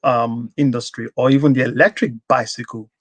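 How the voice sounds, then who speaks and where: A man's voice: medium pitch, steady tone, a little fast, crowd noise. A man hosting a live stream talk show, answering in a thoughtful, agreeable way. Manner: thoughtful, agreeable, backchannels a lot. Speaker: host. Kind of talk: casual live stream chat.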